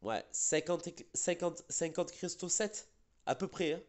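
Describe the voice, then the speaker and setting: A man's voice: on the high side, lively intonation, measured pace, background noise. A man hosting casual gaming chat, a bit congested from being sick, rambling with fillers and restarts. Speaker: gaming channel host.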